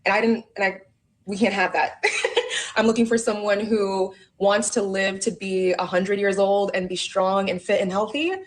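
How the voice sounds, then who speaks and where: A woman's voice: mid-range voice, level tone, normal speed. A woman in her thirties from the Bay Area, asking for relationship advice in a hesitant way, full of ums and likes. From San Francisco Bay Area. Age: 30s. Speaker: caller seeking advice.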